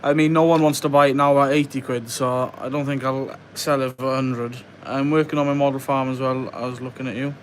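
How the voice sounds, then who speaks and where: A man's voice: mid-range voice, flat delivery, brisk, few pauses, slight background noise. A young man from West Wales chatting casually on a live stream, reading out viewer questions and muttering to himself as he works at a bench. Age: young adult. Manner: chatty, reads viewer questions aloud and mutters while working. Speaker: livestreamer building a model farm. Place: indoor workbench.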